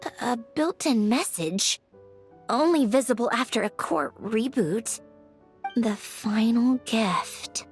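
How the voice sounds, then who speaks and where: A woman's voice: on the high side, animated, slow pace, faint music behind. A woman voicing a sardonic, weary mechanical puppet researcher in a video game, speaking in a dry, analytical way. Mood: astonished, moved. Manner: analytical, dry, clipped self-talk.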